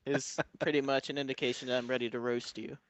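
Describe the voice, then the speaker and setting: A man's voice: medium pitch, flat delivery, fast, dry recording. Rambling young man with fillers. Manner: rambling with many fillers, laughs between phrases. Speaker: podcast co-host. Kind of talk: casual chat among friends.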